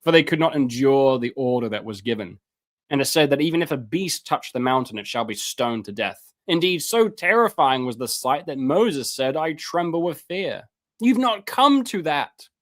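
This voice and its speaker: A man on a live stream reading Bible passages aloud and commenting on them, with casual asides and his voice going hoarse. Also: animated, moderate pace. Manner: reads scripture aloud then comments, rises in pitch when fervent; casual asides, repeats words, clears throat. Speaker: streamer teaching on scripture.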